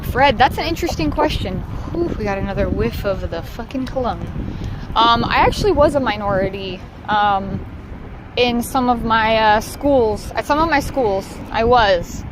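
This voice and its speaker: A woman's voice: on the high side, expressive, moderate pace, noisy background. A woman with a Southern Californian accent, chatting casually and candidly to livestream viewers, swearing now and then. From Southern California, United States. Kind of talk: casual unscripted livestream chat. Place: outdoors while walking.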